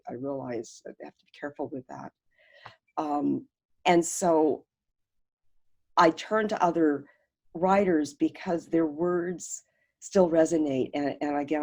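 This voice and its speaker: A woman on a video call, a panelist who talks earnestly with many restarts, her sentences trailing off softly. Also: low-pitched. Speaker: panelist, professor.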